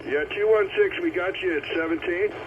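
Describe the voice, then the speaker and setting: A man's voice: high voice, moderate pace. A man hosting a radio roll call, calling out and confirming numbers in a procedural, repetitive way over CB radio. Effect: CB radio transmission. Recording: tinny, very noisy CB radio. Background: radio static and noise.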